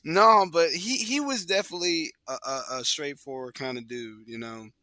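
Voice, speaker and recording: A man talking as a podcast guest, reflective and soft-spoken, pausing and restarting as he remembers. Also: on the high side. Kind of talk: casual conversation.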